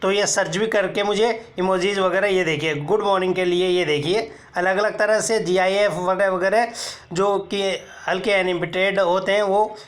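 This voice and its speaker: A man. He goes one step at a time, pausing to point things out and telling viewers to look here. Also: high voice, fairly even intonation. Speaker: tech tutorial presenter. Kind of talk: screen-recorded how-to explanation.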